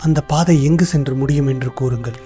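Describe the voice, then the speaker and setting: An actor voicing a wise, cautious royal minister in a cartoon, speaking formally and in a measured way. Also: male voice, medium pitch, dark tone, faint music behind, lightly acted. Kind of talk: cartoon voice acting.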